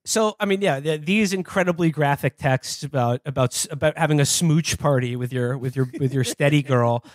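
Male podcast host, casual comic read-aloud delivery, slightly higher register, dry close sound. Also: normal speed, dry recording. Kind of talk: casual comedic podcast talk, reading aloud texts.

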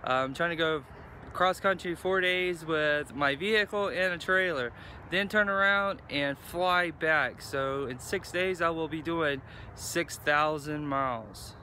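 Bright-voiced, halting American man outdoors. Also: on the high side. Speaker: vlogger. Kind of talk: casual talk to camera. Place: outdoors in an open parking lot. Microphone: phone or camera at arm's length. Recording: outdoor ambient noise, cars.

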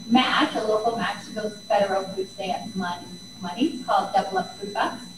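A woman on a community panel, answering a question thoughtfully with fillers and pauses. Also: mid-range voice. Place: large community hall. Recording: echoey hall, distant with hum.

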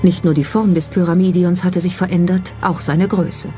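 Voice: medium pitch, steady tone, normal speed, warm tone. A narrator reading a scripted documentary. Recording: music behind the voice. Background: background music.

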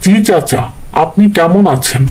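A man's voice: sits high, expressive, unhurried, slightly rough, heavily acted. A man playing a simple, dutiful but spirited younger brother in a cartoon dub, earnest and theatrical. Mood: meek, obedient.

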